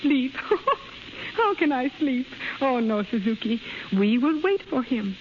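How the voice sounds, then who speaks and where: A scratchy, muffled 1930s radio recording carries a high female voice. a devoted young Japanese wife and mother, lovesick and earnest. Her English is simple and broken, with formal politeness. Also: very animated, slow, exaggerated voice. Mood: eager. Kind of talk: 1930s radio drama acting.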